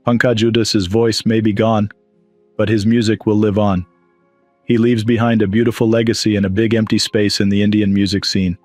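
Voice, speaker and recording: A man's voice: on the low side, dry recording. A man reading a scripted news voice-over as the narrator. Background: background music.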